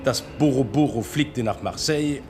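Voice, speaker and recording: An actor playing a curt, offended foreign football player with a French accent and broken grammar in a radio drama. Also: male voice, mid-range voice, moderate pace, over music, close to an everyday voice.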